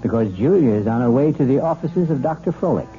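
A man hosting and narrating a radio mystery show in a measured, wry, ominous manner. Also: medium pitch, lively intonation. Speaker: host and narrator. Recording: dull vintage radio broadcast audio with music.

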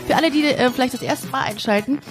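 A woman's voice: sits high, quick pace, over music. Casual, joking woman podcast host. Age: 30s. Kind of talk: casual interview chat.

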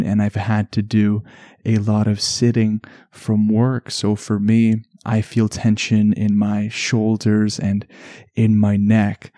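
A man with a Canadian accent hosting a calm relaxation podcast, speaking in a soothing, unhurried way. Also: lowish voice, no echo, clean studio sound. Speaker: podcast host. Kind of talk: slow relaxation podcast narration.

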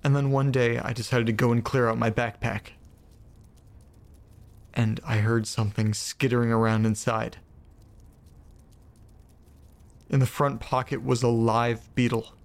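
A man acting in an audio drama as a shaken narrator reading an eerie first-person account, with deliberate, broken phrasing and dramatic pauses. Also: mid-range voice, flat delivery, creaky, natural voice. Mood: uneasy.

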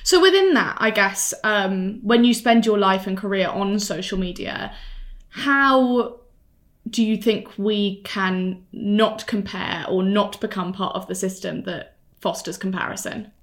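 A woman at a mid-range pitch hosts a relaxed podcast conversation. She talks in a reflective, chatty way, drifting through thoughts and laughing easily between points. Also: measured pace. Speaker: podcast host. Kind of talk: casual interview chat.

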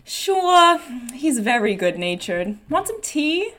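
A woman's voice: high-pitched, very animated, slow, fairly bright tone, voice pushed up, exaggerated voice. A woman reading the part of a kindly, admiring young woman in a stage play. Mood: friendly. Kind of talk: script read-through of a play.

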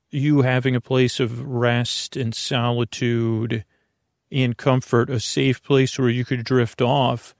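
Male sleep-podcast host, mid-pitched with plenty of vocal fry, halting and digressive bedtime monologue. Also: dry recording, studio quality. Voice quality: creaky. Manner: meandering, halting, trailing off mid-thought. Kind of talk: rambling bedtime monologue meant to lull listeners to sleep.

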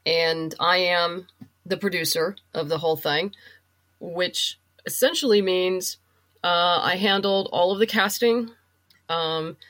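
A woman's voice: lowish voice, fairly even intonation, slow, a bit bright. A middle-aged woman chatting and explaining things casually as a guest in an interview. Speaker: podcast guest, writer and producer.

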